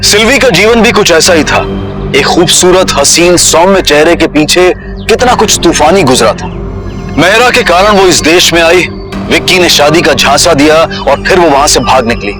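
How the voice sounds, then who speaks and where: Grave male narrator over dramatic music. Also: on the high side. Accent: Indian. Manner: measured, grave, poetic. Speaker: host narrator. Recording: loud dramatic background music.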